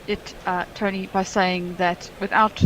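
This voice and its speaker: A woman from South Africa chatting as a cricket co-commentator, relaxed and conversational. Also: mid-range voice, a little slow, slight background noise, poor audio. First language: English; Afrikaans is her second language. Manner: chatty, easygoing analysis. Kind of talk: live sports commentary chat.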